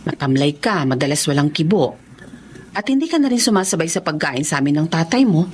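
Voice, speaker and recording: A woman's voice: low-pitched, very animated, fairly quick, a little hiss or hum. A Filipino woman playing a concerned, pleading middle-aged mother in a radio drama. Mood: gentle concern.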